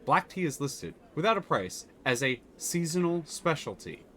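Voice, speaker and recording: A man narrates the story text of a game during a live stream. His voice is bright and forceful, and his pitch rises and falls a great deal. He slips on a word now and then and fixes it on the fly. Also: normal speed, slight background noise. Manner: animated reading, corrects himself.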